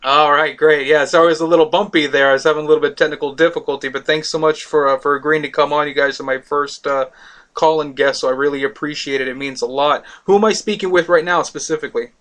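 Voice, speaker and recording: A man's voice: on the high side, fairly even intonation, fast talker, fairly bright tone. A man from Miami hosting a casual talk radio show, rambling with many fillers.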